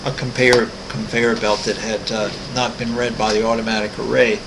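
Gravelly older man rambling through his art. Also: fairly low pitch, little pitch movement, normal speed, background noise. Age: 60s. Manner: rambling, many ums and restarts. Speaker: artist walking through his website. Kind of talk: casual screen-recorded walkthrough.

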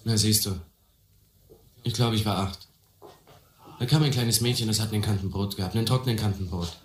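A man's voice: lowish voice, a little fast, natural voice. An actor playing a blunt, ambitious young man from the harbour in a radio play, talking colloquially and cockily. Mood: cocky, driven. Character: streetwise, hungry, ambitious harbour youth. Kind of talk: German radio play acting. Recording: roomy, music behind.

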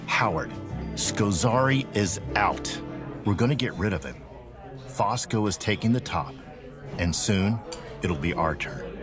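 A man's voice: on the low side, animated, measured pace, long pauses, over music. A man playing a confident, courteous 1920s bootlegger in an audio drama. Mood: conspiratorial. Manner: courteous, assured. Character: smooth-talking, confident 1920s male bootlegger.

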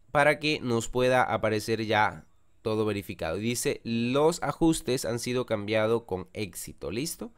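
A young man narrates a screen-recorded walkthrough as the channel host, guiding the viewer click by click. His voice is smooth and clear at a middle pitch. He keeps it chatty and often checks in with the viewer.

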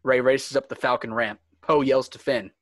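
A man's voice: mid-range voice, steady tone, normal speed, fairly bright tone, no echo, clean studio sound. A man reading screenplay stage directions as dramatic scripted narration.

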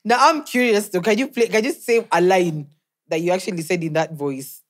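A woman from Kenya co-hosting a podcast, animated and quick to react. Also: fairly low pitch. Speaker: podcast co-host. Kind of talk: casual podcast chat. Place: podcast studio.

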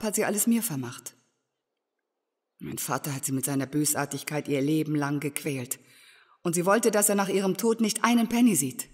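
An actress playing a bitter, resolute young heiress, speaking earnestly in a German audio drama. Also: female voice, on the low side, slightly rough. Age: young adult. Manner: earnest, embittered storytelling.

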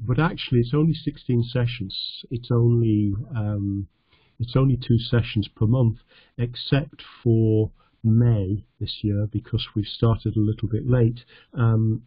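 A middle-aged man from North Yorkshire, teaching an online lesson over slides in a halting, conversational way with a self-deprecating humour. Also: medium pitch, steady tone, breathy edge, dry recording, muffled recording. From North Yorkshire, England. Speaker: English teacher.

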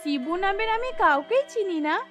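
Very high-pitched pleading teenage schoolgirl. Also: animated, moderate pace, soft background music. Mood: wary curious. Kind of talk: Bengali cartoon voice acting.

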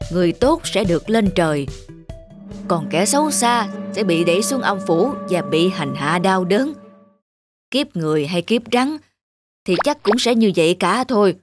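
Woman acting old king cobra, cartoon, authoritative, solemn, lowered pitch, measured, music behind. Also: natural voice. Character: long-lived king cobra, wise, stern, authoritative mentor. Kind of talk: animated fairy-tale character acting. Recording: music under the voice.

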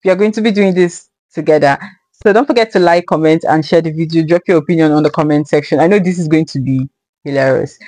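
A woman's voice: fairly low pitch, darkish tone, no echo. A young woman hosting a reaction video who chats casually and reacts with incredulous, laughing asides, talking into a headset in a small room.

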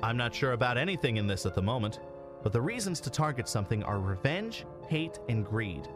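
A man's voice: mid-range voice, sing-song, fairly quick, lightly acted. A man playing a stern, preachy investigator in a sci-fi audio drama, speaking in a formal, commanding way. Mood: pondering. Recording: music behind the dialogue.

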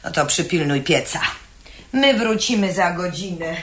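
A woman's voice: lowish voice, expressive, measured pace, a bit bright. An actress playing a stingy, stern middle-aged bourgeois mother in a radio play, scolding and reproachful. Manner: stern, reproachful, self-righteous. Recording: echoey room, noisy old radio recording.

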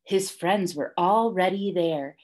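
A young woman reading a children's story aloud like a teacher, deliberately and with dramatic emphasis. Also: lowish voice, darkish tone. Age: young adult. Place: small classroom, indoors, by a whiteboard.

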